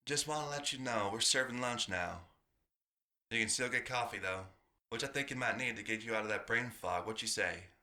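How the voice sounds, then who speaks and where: A man's voice: mid-range voice, moderate pace, bright, forceful tone. A man voicing a big, friendly, no-nonsense diner waitress with a folksy, direct manner in an audio roleplay. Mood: friendly, concerned.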